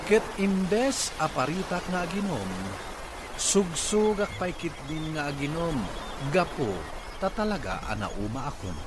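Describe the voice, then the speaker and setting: A man hosting a radio drama, reading out the opening of a listener's letter and introducing the story. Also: medium pitch. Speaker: host. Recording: noisy radio recording.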